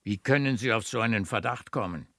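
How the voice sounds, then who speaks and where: A man's voice: fairly low pitch, brisk, pushed and bright, dry recording, studio quality. A man acting an effusive, prejudiced middle-aged baron in a radio play, with a courtly, emphatic manner. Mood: effusive, grateful. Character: effusive, prejudiced baron, doting father of a daughter. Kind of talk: theatrical German radio play acting of classic comedy.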